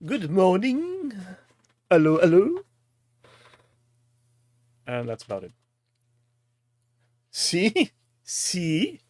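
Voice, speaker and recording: Animated German man chatting on a stream. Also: sits high, extremely slow, pauses often, dry recording. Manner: jokey, crude humour, teasing. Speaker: live stream host. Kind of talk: casual live-stream chat.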